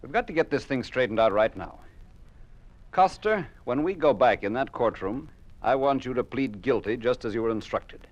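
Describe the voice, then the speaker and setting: An actor playing a stern, coercive judge at a show trial in an old radio drama, with a formal, commanding delivery. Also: male voice, mid-range voice, lively intonation, normal speed, muffled recording, natural voice. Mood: angry, threatening. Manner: formal, commanding, declamatory in court. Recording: noisy old radio recording.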